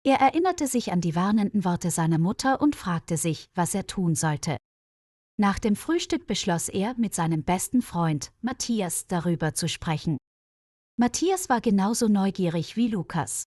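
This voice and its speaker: A woman reading a scripted mystery story for language learners in a measured, careful storyteller's delivery. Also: mid-range voice, expressive, darkish tone, no echo, clean studio sound.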